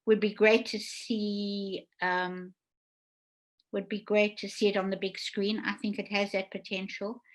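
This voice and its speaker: A woman's voice: medium pitch, little pitch movement, a little slow. Effusive woman hosting a panel discussion. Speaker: host, theatre producer and director. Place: indoors, online video call.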